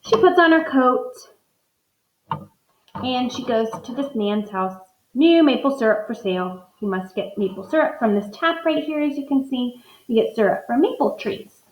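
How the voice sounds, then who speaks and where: Woman teacher, read-aloud lesson, talks through her inferences; higher voice, roomy echo, muffled sound. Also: lively intonation, normal speed. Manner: thinks aloud, modeling inferences for students.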